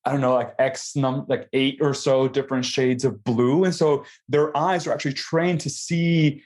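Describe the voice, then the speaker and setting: Young guy from mexico, podcast guest, thinking out loud, lots of restarts. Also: mid-range voice, steady tone, moderate pace. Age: young adult. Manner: reflective, many restarts and fillers. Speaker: guest, food company founder. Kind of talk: casual conversation.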